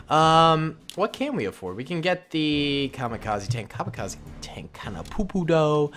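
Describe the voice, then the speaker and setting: A man's voice: on the high side, fairly bright tone. A young man casually commenting on his gameplay in a playful, jokey way, with slang. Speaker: gaming YouTuber.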